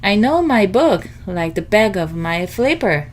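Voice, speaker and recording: A woman voicing a boastful, excitable young boy shark in a children's storybook, eager and cocky. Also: mid-range voice, measured pace, a little hiss or hum.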